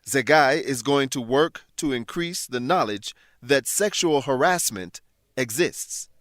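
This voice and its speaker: A man hosting and narrating a scripted language lesson, explaining things in a clear, measured teacher's manner. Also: medium pitch, animated, a bit bright, no echo, studio quality. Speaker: host and English teacher.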